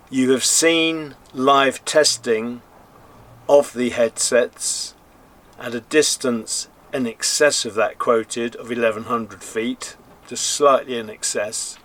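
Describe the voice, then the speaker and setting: Man, medium pitch, slow delivery, informal review commentary, measured and careful presenter. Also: a bit bright. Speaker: presenter reviewing and testing gear.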